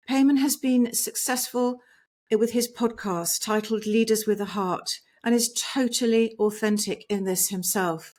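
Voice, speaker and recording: A woman hosting a podcast interview over a video call, giving a gushing introduction and leading the conversation. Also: on the high side. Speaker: podcast host. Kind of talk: online interview. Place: home in England.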